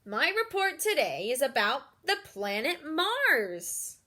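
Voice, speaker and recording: A woman reading a children's storybook aloud, voicing an eager, expressive young schoolgirl. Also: high voice, slow pace, exaggerated voice. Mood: proud.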